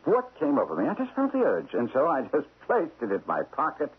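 Slippery, raspy, nervous investment counselor. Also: male voice, fairly high pitch, huge pitch swings, fairly quick, heavily acted. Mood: flustered, sheepish. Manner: hesitant, hedging, placating. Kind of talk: radio drama acting. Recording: muffled lo-fi old radio broadcast.

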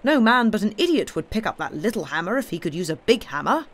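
A man's voice: high voice, big pitch swings, normal speed, a bit bright, voice pushed up, heavily acted. A man reading an audiobook, voicing a blunt, opinionated and rather sulky male doctor with an emphatic way of talking. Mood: sulky, stubborn. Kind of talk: audiobook character dialogue.

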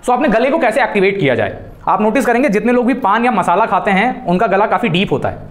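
A man's voice: high-pitched, expressive, quick pace. A man in his thirties with an Indian accent, instructing a class emphatically as a voice coach. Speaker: voice and spoken English coach. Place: small indoor classroom. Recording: echoey room.

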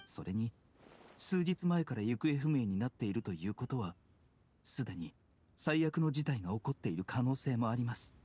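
An actor playing a calm, courteous young male doctor in a video game, speaking politely and in a measured, formal way. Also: medium pitch, slightly rough. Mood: measured concern. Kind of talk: Japanese video game voice acting. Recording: studio voice over game music. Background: background music.

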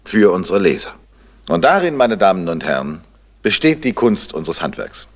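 An actor playing a suave middle-aged crime novelist with urbane, dry irony in a German radio drama from 1970. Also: male voice, deep voice, very animated, normal speed, noisy background. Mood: assured, oratorical.

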